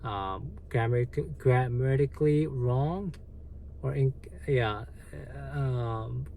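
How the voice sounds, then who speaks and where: A man with a Korean accent, a native Korean speaker, hosting a livestream and answering viewer comments in a halting, hesitant way with lots of fillers. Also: mid-range voice, mellow, a little hiss or hum. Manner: slow, halting, many fillers and restarts. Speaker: livestream host.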